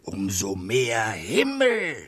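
An actor playing a placid, portly gentleman, ponderous and deliberate, in a radio play. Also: medium pitch, wildly swooping pitch, no room sound, studio quality, heavily acted. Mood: placid, pontificating.

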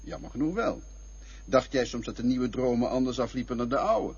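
A man's voice: medium pitch, expressive, a little fast, a little mellow, lo-fi sound, natural voice. A man in a radio play voicing a cynical inner voice that probes and mocks a lover. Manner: cynical, probing, mocking.

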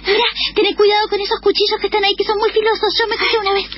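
Casting: scheming, insistent worker ant, very high quick voice, Rioplatense accent, comic radio play. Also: female voice, little pitch movement, noisy background. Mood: urgent. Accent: Rioplatense Argentine. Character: bold, pushy, scheming female worker ant. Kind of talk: improvised comedic radio theatre. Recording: dull lo-fi audio.

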